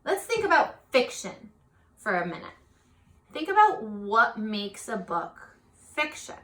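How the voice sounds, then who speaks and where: A woman's voice: fairly high pitch, sing-song, unhurried, a bit bright. A woman teaching young children in a read-aloud lesson, asking simple questions and encouraging them directly. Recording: echoey room.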